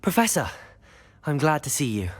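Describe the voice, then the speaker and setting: A young actor playing a brave wizard student in a game, polite and earnest. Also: male voice, on the high side, very animated, moderate pace. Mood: urgent earnest.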